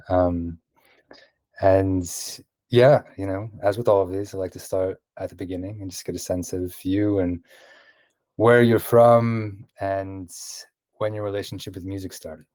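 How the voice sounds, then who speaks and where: A male host chats in a relaxed, slightly hesitant way, often pausing on "um" and "uh". His voice is very low, and the recording is dry and close. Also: no room sound. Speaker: podcast host. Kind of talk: casual interview chat.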